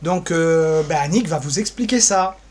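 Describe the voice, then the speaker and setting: A man's voice: a little high, expressive, unhurried, darkish tone. Rambling, playful man presenting. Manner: rambling, hesitant, playful asides. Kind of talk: informal screen-scrolling website walkthrough.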